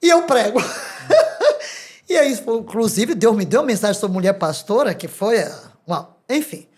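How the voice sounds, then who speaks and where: An older Brazilian woman with a Brazilian Portuguese accent tells her testimony as a podcast guest. She speaks with lively energy and laughs often. She also acts out the words of the people in her stories. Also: medium pitch, moderate pace, breathy edge. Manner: animated, laughs often, acts out quoted dialogue. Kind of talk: testimony storytelling.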